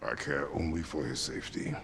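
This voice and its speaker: An actor playing a stern, weary warrior father in a video game, terse, solemn and formal in his speech. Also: fairly quick. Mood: brooding. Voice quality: very deep, gravelly, breathy at times. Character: stern, guarded warrior father, middle-aged, huge, weary. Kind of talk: cinematic video game acting. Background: loud cinematic music.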